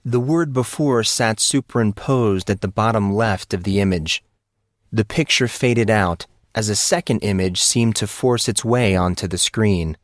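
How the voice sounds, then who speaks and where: A man reading scripted narration for an audio drama in a steady storytelling voice. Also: lowish voice, no echo, clean studio sound.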